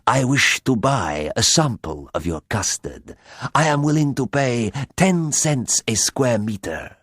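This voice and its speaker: A man voicing a mysterious, scheming woman in a storybook, with a husky, silky voice and a foreign accent. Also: mid-range voice, sing-song, a bit bright, no room sound, studio quality, pitched above the performer's own voice, full character voice. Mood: seductive, mysterious. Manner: silky, slow, deliberate.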